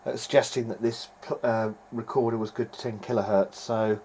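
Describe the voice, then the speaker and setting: A man's voice: on the low side, steady tone, normal speed, darkish tone. A man giving an informal, hesitant video-diary narration as he tests equipment, thinking aloud in a noisy amateur recording. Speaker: hobbyist electronics repairer.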